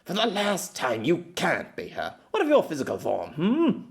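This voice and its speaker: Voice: mid-range voice, wildly swooping pitch, moderate pace, vocal fry, a little hiss or hum, voice pushed up. A performer voicing an exasperated, sceptical male storyteller with a fussy, formal way of talking in an audiobook. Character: exasperated, sceptical storyteller man, feeling old.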